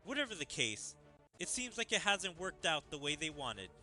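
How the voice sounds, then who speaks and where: A man's voice: fairly high pitch, big pitch swings, normal speed, sharp tone. A man giving casual commentary as he plays through a story game, with game music behind him. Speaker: gaming streamer reading story dialogue aloud.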